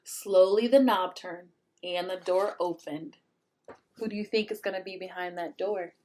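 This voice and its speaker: A woman reading a picture book aloud to young children in an expressive way, pausing to ask the listeners questions. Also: lowish voice. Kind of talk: animated children's read-aloud with asides to the listeners.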